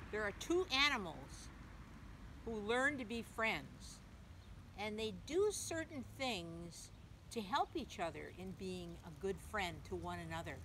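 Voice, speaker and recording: A woman reading a picture book aloud to young children, gently, in simple phrases, pausing to ask the listener questions. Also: medium pitch. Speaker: children's story reader, teacher. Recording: clearly noisy background.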